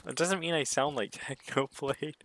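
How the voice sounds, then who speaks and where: A man with a somewhat deep voice chatting casually to himself as he streams a game, rambling and musing. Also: steady tone, moderate pace, fairly bright tone, slight background noise. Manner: rambling, self-musing talk. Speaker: gaming livestreamer. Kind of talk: casual solo gaming stream chat.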